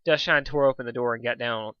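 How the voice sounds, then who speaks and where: A man reading a story aloud as an amateur, playful and animated, stumbling over words now and then. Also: mid-range voice, fast talker, smooth, dry recording, lo-fi sound. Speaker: amateur fan fiction reader.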